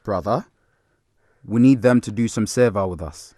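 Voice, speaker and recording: An actor playing a solemn, commanding armed militant in an audio drama, speaking in a grave and deliberate way. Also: male voice, fairly low pitch, warm tone, dry recording, clean studio sound, lightly acted. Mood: firm, menacing.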